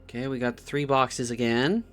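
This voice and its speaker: A man streams a video game in a medium-pitched voice. He comments casually on his choices and reads game text aloud as he goes. Faint music plays behind him. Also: fairly even intonation, moderate pace. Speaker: gaming streamer.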